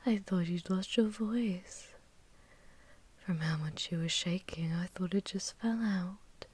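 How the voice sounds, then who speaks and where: Woman acting whispering, slow-spoken seductive vampire warlord. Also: on the low side, darkish tone, husky. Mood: teasing, possessive. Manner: intimate, slow, languid, teasing, mostly whispered. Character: seductive, possessive, menacing female vampire warlord. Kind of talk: whispered ASMR fantasy roleplay.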